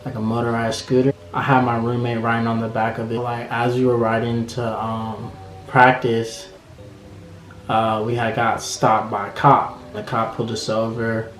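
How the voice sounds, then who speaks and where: A man's voice: medium pitch, completely flat delivery, moderate pace. A nineteen-year-old man casually telling a personal story with many fillers. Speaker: interviewee. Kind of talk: personal testimony. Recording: roomy echo with faint music.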